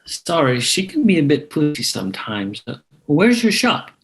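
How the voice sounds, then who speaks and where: A man's voice: a little high, sing-song, normal speed, fairly bright tone. A man playing a friendly, joking, easygoing suitor at a screenplay table read. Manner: casual, easygoing.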